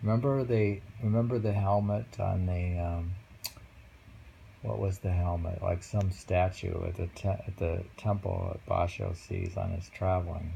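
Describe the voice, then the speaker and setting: A man reading short poems aloud and chatting about them casually, musing and pausing over the lines. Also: low voice, little pitch movement, gravelly, dark tone, a little hiss or hum, rough recording. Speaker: poetry reader and host. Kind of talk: casual poetry reading and chat.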